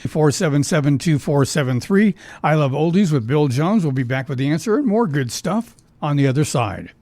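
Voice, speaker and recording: A man with a slightly high voice hosts a casual oldies trivia program on the radio. He talks in a folksy, conversational way, and the sound is dry and close, with little room in it. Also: animated, moderate pace, a little mellow, no room sound. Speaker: radio show host. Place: radio studio.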